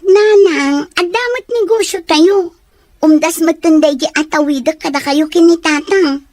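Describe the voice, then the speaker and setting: A woman's voice: very high voice, a little slow, darkish tone, no echo. A young woman voicing a stubborn student daughter in an audio drama, talking in an insistent, pleading way. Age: young adult. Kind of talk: Ilocano radio-style audio drama.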